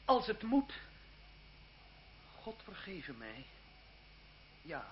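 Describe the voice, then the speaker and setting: High-voiced, earnest young seminarian. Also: male voice, huge pitch swings, extremely slow, gravelly, heavily acted. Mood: grave resolve. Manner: declamatory, earnest. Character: earnest, indignant young seminarian, idealistic and fiery. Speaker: radio drama actor. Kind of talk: theatrical Dutch radio play acting. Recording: dull old radio recording, crowd noise.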